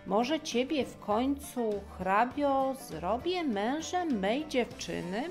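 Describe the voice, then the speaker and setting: A woman's voice: on the high side, expressive, slow pace, darkish tone, soft background music. A woman voicing a jovial, pompous king in a rhymed fairy-tale audio drama, with a grand, exclamatory delivery. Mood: impressed.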